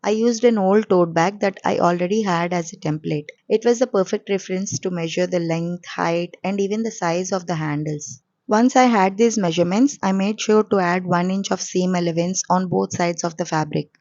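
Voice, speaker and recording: Hoarse lady with a cold doing a sewing voiceover, lowish voice, kinda dull dry sound. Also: moderate pace, few pauses, dry recording. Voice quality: coarse. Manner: calm step-by-step explaining. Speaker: sewing channel host. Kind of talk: voice-over sewing tutorial.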